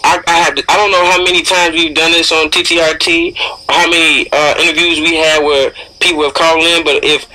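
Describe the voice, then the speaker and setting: A man's voice: on the high side, level tone, sharp tone, low-quality recording. A man co-hosting a casual radio interview, informal and admiring, repeating words as he goes. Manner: informal, admiring, halting repetitions. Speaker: radio show co-host.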